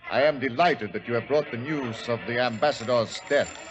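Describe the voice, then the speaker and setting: An actor playing a smug, cold-blooded gentleman gambler with suave, formal, deliberate phrasing in 1940s radio style. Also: mid-range voice, close to an everyday voice. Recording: dull, lo-fi old radio recording.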